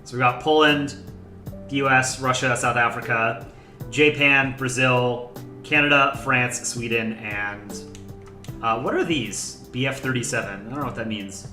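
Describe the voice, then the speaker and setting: A young man hosts a laid-back livestream, his voice bright and forward. He rambles casually and thanks viewers by name. The room is echoey, with faint music underneath. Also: mid-range voice, measured pace. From United States. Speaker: livestream host. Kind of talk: casual chat.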